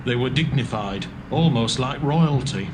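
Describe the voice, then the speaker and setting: An actor playing defiant captive enemy kings who answer with mocking scorn in a dramatised scripture reading. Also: male voice, medium pitch, animated, a little slow, background noise, lightly acted. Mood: taunting.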